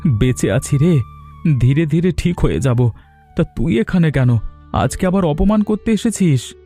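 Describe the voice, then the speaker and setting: Dignified poor cartoon dad, male actor, mellow, firm and moralizing, over soft backing music. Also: a little high, animated, unhurried. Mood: weary, wary. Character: proud, injured poor villager father, dignified and defiant. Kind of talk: Bengali moral-story cartoon voice acting. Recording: music behind the voice.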